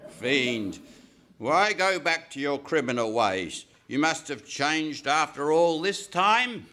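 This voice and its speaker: A man acts out a pompous police inspector with a bright, forceful voice and wildly swooping pitch. He blusters theatrically in old radio comedy style. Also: lively intonation, slow pace, full character voice. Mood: indignant, alarmed. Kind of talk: parody of 1950s radio comedy acting. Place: live on stage in a hall.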